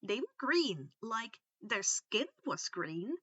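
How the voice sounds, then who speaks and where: A woman reads fiction aloud with a high, bright voice. Her delivery is expressive and swoops widely in pitch, leaning into casual asides as she narrates. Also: slow, breathy edge, no echo, muffled recording. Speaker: reader of fan fiction for online listeners. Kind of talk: expressive audiobook-style story narration.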